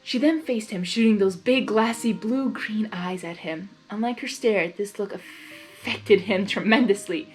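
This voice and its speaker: A woman narrating fan fiction in a blind reading, expressive, with her own giggly asides. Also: medium pitch, unhurried, hardly any pauses, soft background music.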